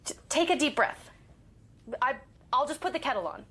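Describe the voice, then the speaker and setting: An awkward, soothing friend in an audio drama. Her voice is very high and wavers up and down a lot. She is soft-spoken and hesitant, timidly offering comfort. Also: lively intonation, normal speed, slight background noise. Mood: nervous, soothing. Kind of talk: naturalistic audio drama acting.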